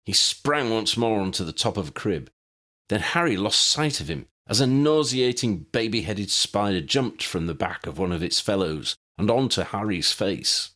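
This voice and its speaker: A man reading a story as a dramatic, expressive audiobook narrator. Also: lowish voice, normal speed.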